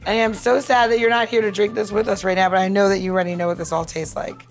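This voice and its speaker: A woman's voice: medium pitch, level tone, quick pace. A woman with a mid-range voice hosts a casual show and explains things step by step like a bartender. She is chatty and informal, peppering her talk with little check-ins. Music plays behind her. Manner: chatty, informal, frequent "like" and "right?". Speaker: podcast host and bartender. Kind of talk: casual chat, talking through a cocktail demonstration.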